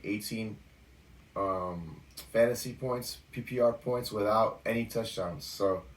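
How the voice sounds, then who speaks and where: A man co-hosting a sports podcast, going over game matchups in a relaxed chatty way with lots of fillers. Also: lowish voice, far from the mic, low-quality recording. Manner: relaxed, talks through stats with fillers. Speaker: podcast co-host. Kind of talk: casual sports podcast chat.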